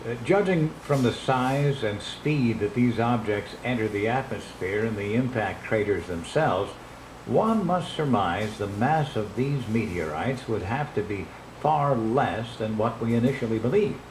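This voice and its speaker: A man's voice: medium pitch, expressive, a little slow, natural voice. A man playing a cautious, learned scientist who speaks formally and hesitantly in a radio drama interview. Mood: guarded, careful. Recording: echoey room playback, faint noise.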